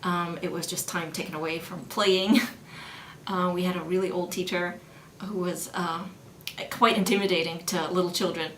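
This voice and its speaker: A woman's voice: lowish voice, fairly even intonation, moderate pace, a bit bright. A middle-aged woman, a German studies professor born in New York and raised in Vienna, explaining her research thoughtfully in an interview, with many fillers and restarts. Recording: roomy sound with faint background noise.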